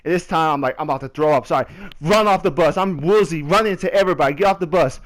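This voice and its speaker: A young man telling a story casually over gameplay, in a slangy, animated way with repeats and quotes of himself. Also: a little high, fast, dry recording. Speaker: gaming video creator.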